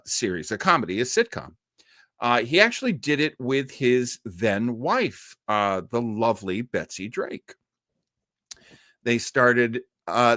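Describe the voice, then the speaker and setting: An American man hosting a listening party with casual live commentary, chatty and halting as he rambles. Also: mid-range voice, fairly bright tone, no room sound, studio quality. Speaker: host of an old-radio listening party.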